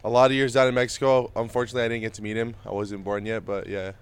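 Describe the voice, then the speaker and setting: A man's voice: on the low side, level tone, racing, smooth. A young adult man, a Spanish native speaker, answering interview questions in an easygoing way with plenty of fillers. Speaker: interview guest, baseball prospect.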